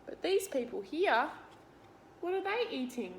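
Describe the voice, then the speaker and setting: A woman's voice: high voice, very animated, a little slow, vocal fry, slight background noise. An Australian woman reading a picture book aloud to young children, expressively, talking to them directly with simple explanations and questions.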